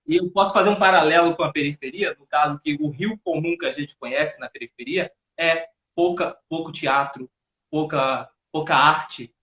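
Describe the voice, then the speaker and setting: A man's voice: fairly high pitch, moderate pace, bright, forceful tone. A man in his thirties with a Northeastern Brazilian accent, telling stories as a guest in a casual live chat. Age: 30s. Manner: storytelling, repeats words while searching. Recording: roomy, dull lo-fi audio.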